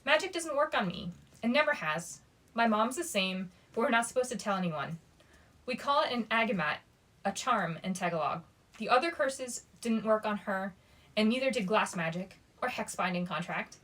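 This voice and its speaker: A woman's voice: mid-range voice, animated, moderate pace, a bit bright, poor audio. A woman voicing a bold, curious and cynical sixteen-year-old girl in a storybook, in a casual manner. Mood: confident.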